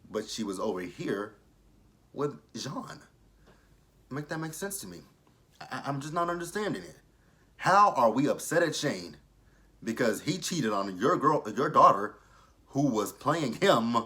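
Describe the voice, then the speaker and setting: Blunt, forceful thirty-year-old man. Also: medium pitch. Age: 30s. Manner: blunt, opinionated, swears freely. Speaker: TV show reviewer. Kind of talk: casual review vlog commentary.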